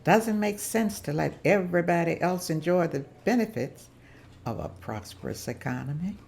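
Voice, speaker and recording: A woman's voice: lowish voice, expressive, moderate pace, gravelly, a little mellow. A woman reading a rallying businesswoman leader. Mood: encouraging. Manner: rallying, persuasive. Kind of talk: table read.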